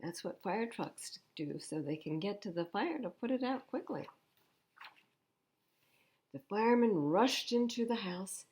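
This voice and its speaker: A woman reading a picture book aloud to children at storytime, expressively, pausing to explain the pictures in simple words. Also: mid-range voice, animated, darkish tone. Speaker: library storytime reader.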